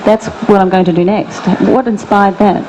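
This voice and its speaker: Woman interview host, upbeat and chatty, mid-pitched. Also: fairly even intonation, moderate pace, background noise. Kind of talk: reading an introduction, then chatting.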